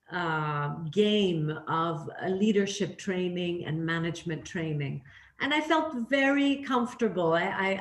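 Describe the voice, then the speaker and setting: A middle-aged woman raised in Canada, telling her career story as an interview guest in a candid, reflective way with frequent fillers and restarts. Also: medium pitch. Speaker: interview guest, leadership trainer. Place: living in Greece. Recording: echoey room.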